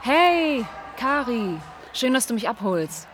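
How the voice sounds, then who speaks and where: An actress playing a combative, outspoken young woman teacher in a naturalistic radio drama, with a slightly husky voice. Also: a little high, very animated, slow, background noise. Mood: casual. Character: defiant young woman teacher, embittered by right-wing hostility.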